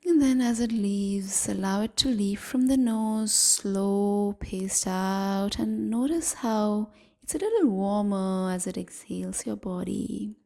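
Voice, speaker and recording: Slow, mellow woman guiding meditation. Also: medium pitch. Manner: soothing, gentle, breathy half-whispered delivery with long pauses. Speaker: meditation guide. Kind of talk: guided evening body scan meditation.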